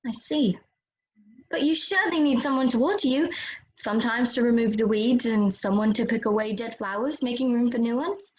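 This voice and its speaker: A woman's voice: a little high, normal speed, lightly acted. A woman playing a curious, polite but easily exasperated little girl in a children's read-aloud play. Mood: concerned. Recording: roomy, slightly dull sound.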